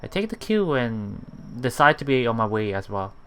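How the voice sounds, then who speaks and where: A man reading a visual novel aloud for a let's play, halting and repeating words, breaking off for casual commentary. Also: mid-range voice, very animated, fairly bright tone, clear, resonant tone.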